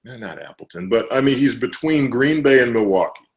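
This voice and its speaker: A man being interviewed as an author, explaining at length in relaxed conversation, with fillers and restarts. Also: mid-range voice, moderate pace.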